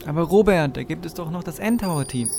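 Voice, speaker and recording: A man's voice: a little high, animated, a little fast, gravelly, noisy background. A man playing a know-it-all storyteller who narrates a comic Christmas audio drama. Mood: storytelling. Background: music.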